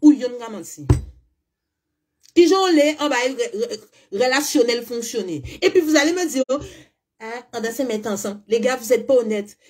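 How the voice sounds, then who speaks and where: A woman's voice: on the high side, expressive, normal speed, a little mellow. A woman from the French Caribbean, a spiritual coach speaking bluntly and emphatically to her followers, firing off rhetorical questions. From French Caribbean (Guadeloupe, Martinique). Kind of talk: monologue to followers.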